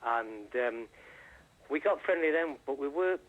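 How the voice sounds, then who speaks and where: Older man, remote interviewee, average pitch, halting, rambling reminiscence, on the line. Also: animated, lo-fi sound. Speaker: interviewee, friend giving tribute. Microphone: phone line or remote link.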